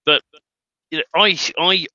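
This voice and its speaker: A middle-aged British man with a very bright, forceful voice, chatty and prone to repeating words as he gets going. The audio is dry and slightly dull, lo-fi. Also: a little high, measured pace, dry recording. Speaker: webinar guest, template trainer. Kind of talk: casual chat.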